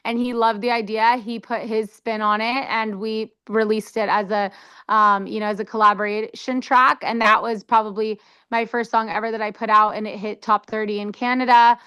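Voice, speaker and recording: A woman's voice: on the high side, level tone, normal speed, bright, forceful tone, clear, resonant tone. A young woman from the United States, giving an enthusiastic, chatty interview answer with plenty of fillers. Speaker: interview guest. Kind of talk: casual interview talk.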